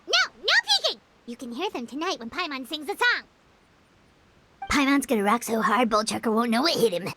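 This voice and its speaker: A woman voicing a tiny, excitable, bossy floating fairy girl in an anime video game, with a squeaky childlike voice. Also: a little fast, background noise. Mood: boastful. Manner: exclamatory, speaks of herself in third person. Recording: loud music behind.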